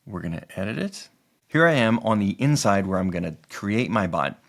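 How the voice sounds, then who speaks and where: A man narrating a step-by-step software tutorial as its host, explaining each part in an instructional way. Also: lowish voice, sing-song, normal speed.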